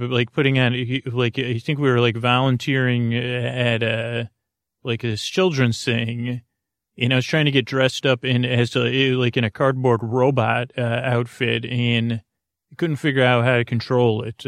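Meandering man with a creaky, even voice. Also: mid-range voice, no echo, clean studio sound. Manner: meandering and hesitant, trailing off and doubling back. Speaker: podcast host. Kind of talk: rambling, casual monologue.

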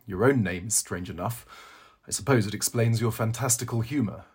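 Man as male literary critic, dry, challenging, superior, husky, audiobook dialogue. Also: on the low side, brisk, darkish tone.

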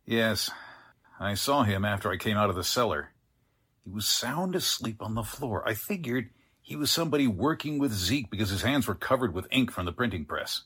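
A mild small-town doctor played by a man speaks in a lowish, husky voice. He is well-meaning and a little hesitant as he explains things, in 1940s radio drama style. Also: expressive, normal speed, pushed and bright, heavily acted. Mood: sober, explaining.

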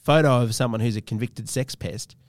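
A man's voice: mid-range voice, fairly quick, no echo, studio quality. A man hosting a comedy podcast, chatting casually and asking questions in a wry, teasing way. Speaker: podcast host. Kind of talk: casual comic interview.

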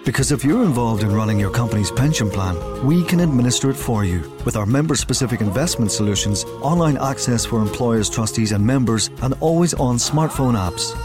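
Calm man reading an ad. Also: medium pitch, brisk, few pauses. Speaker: ad voice-over. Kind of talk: scripted ad read. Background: music.

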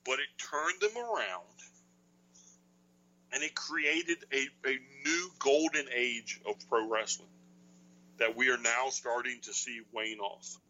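A middle-aged man with a deep voice, co-hosting a casual chat and telling stories with wry jokes. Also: takes it slowly, bright, forceful tone, highs cut off. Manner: storytelling, wry, joking. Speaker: podcast co-host. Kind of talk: casual chat about wrestling.

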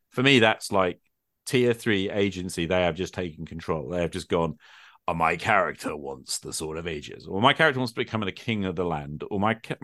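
A man's voice: sits low, a bit bright, dry recording, clean studio sound. A middle-aged man in a casual, extended discussion, explaining his thinking and often checking in with short tag questions. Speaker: podcast host and game master.

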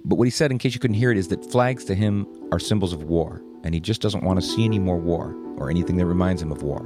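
A man narrating a podcast segment in a measured storytelling way. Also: low voice, over music. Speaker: podcast host. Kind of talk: scripted narration.

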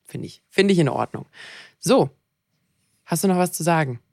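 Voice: mid-range voice, lively intonation, normal speed, gravelly, a little mellow, no echo, clean studio sound. A podcast co-host chatting informally and talkatively, with a joking streak. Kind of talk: casual podcast chat.

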